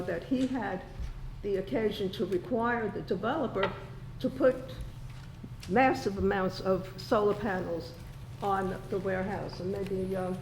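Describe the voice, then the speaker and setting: A woman speaking as a resident at a town meeting, choosing formal words in a halting way. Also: mid-range voice, a little mellow. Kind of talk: public comment at a meeting. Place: indoor town board meeting room. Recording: echoey meeting room with background noise.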